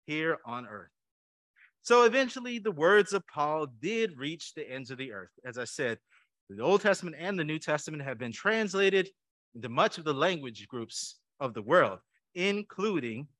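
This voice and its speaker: A man's voice: on the high side, pushed and bright, no echo. A man preaching a conversational sermon to his church, asking the congregation questions and adding light jokes. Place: church sanctuary.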